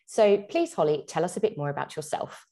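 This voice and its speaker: A woman's voice: low-pitched, big pitch swings, brisk, mellow. A woman hosting an interview podcast, presenting introductions and putting questions to a guest. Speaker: podcast host.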